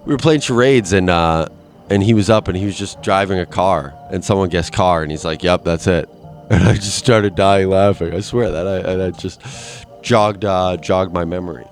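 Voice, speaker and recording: A young man with a slightly low voice rambles alone on a podcast, crude and self-mocking, stopping and restarting his sentences. His nose is stuffed up, and faint music plays underneath. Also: normal speed. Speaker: podcast host. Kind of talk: rambling solo monologue.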